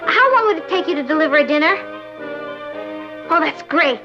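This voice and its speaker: High-pitched melodramatic teenage girl. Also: lively intonation, fairly quick, lo-fi sound, music in the background. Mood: affectedly grand. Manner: theatrical, emphatic, wry. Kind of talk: vintage TV sitcom acting.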